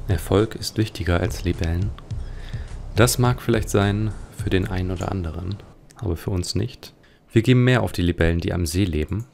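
A man's voice: deep voice, moderate pace, gravelly, darkish tone, slight background noise. A man giving musing, speculative commentary as he plays an adventure game. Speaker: let's play host.

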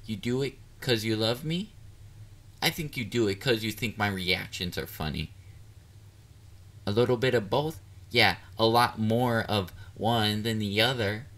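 A man playing a shy, clingy, excitable young catboy boyfriend in an intimate romantic audio roleplay, hesitant and easily flustered. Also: lowish voice, a little slow. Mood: flustered, teasing. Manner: hesitant, vulnerable, flustered, with stammers.

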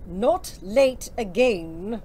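A woman's voice: medium pitch, animated, very slow, dark tone, a little hiss or hum. An actress playing a stern, bullying woman commandant in an audio drama, clipped and commanding. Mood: stern, scornful.

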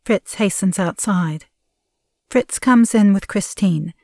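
A woman's voice: medium pitch, slow, warm tone, no echo, clean studio sound. A woman reading stage directions as the neutral narrator of an audio play, in scripted narration.

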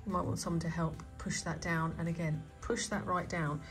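Woman, DIY vlogger, low-ish voice, chatty hands-on tone, recorded on a handheld camera in a home hallway. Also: flat delivery, normal speed, fairly bright tone, soft background music. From United Kingdom. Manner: chatty, thinking aloud while working. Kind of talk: casual hands-on DIY tutorial. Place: indoor hallway and staircase at home. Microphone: handheld phone or camera filming her hands.

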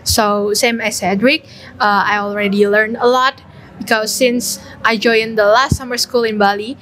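A woman's voice: on the high side, steady tone, normal speed, fairly bright tone, a little hiss or hum. A young woman from Indonesia chatting in a friendly way about her student program, holding a mic in a large restaurant hall. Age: young adult. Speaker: student participant. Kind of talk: casual testimonial. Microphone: handheld mic.

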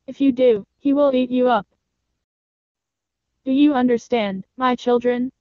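A woman's voice: sits high, fairly even intonation, unhurried, dry recording, pitched above the performer's own voice. A woman voicing a loving mother goat who warns her children to be careful, in a storybook reading. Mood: caring. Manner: gentle, warning. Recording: dull lo-fi sound, dry.

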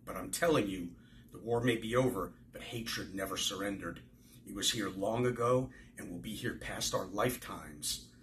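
A bitter butcher from a novel, heavy with grief and anger, read out by an older man. The voice is on the low side, with a little room noise behind it. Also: normal speed, fairly bright tone, lightly acted. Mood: sorrowful warning.

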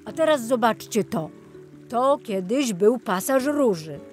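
A woman's voice: a little high, expressive, unhurried, darkish tone, faint music behind. A woman voicing a jolly, absent-minded older male tour guide in a children's storybook, rambling and enthusiastic. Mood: chatty, proud.

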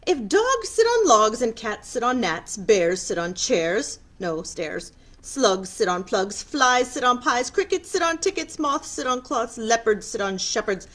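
A woman's voice: a little high, sing-song, a little slow, a bit bright, voice pushed up, heavily acted. A woman voicing a fed-up cat who rattles off a long list of rhymes in a picture book read aloud. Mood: exasperated.

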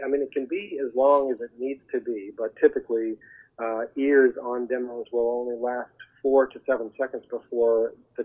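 A man giving explanatory advice as an interview guest over the telephone, haltingly searching for his words. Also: medium pitch, breathy edge. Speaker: guest, voiceover agent. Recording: muffled narrowband phone line.

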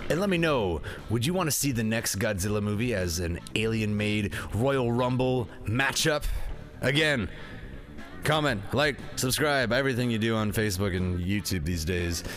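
A man's voice: fairly low pitch, animated, normal speed, a bit bright, soft background music. A man narrating a comedic film review as host, with a jokey, theatrical, slangy delivery.

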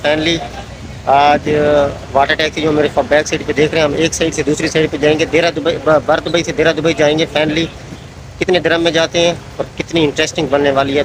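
A young man chatting excitedly as he films a travel vlog on a phone held at arm's length, outdoors on a busy waterfront and boat ride, often repeating himself. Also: a little high. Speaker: vlogger. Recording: loud boat engine and water noise.

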